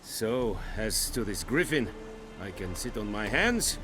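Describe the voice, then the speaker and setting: Stern low-voiced foreign army commander. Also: male voice, very animated, music in the background. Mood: grave. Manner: formal, measured, clipped. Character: stern, pragmatic male army commander of an occupying empire. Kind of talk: dramatic video game acting.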